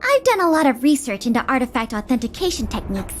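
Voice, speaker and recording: A woman's voice: high-pitched, sing-song, fast talker, slight background noise. A woman voicing an earnest young museum official who talks eagerly and politely. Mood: bright. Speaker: museum official in a game story. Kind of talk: scripted game dialogue. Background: game music.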